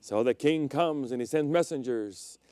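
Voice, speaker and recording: A middle-aged man preaches with a smooth, resonant voice, recorded dry with no room sound. He tells personal stories as a preacher would. His delivery rises to loud, emphatic peaks and drops into hushed, low stretches. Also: normal speed, dry recording. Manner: swings between loud emphatic peaks and quiet low passages; storytelling preacher, personal anecdotes. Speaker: pastor. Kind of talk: sermon.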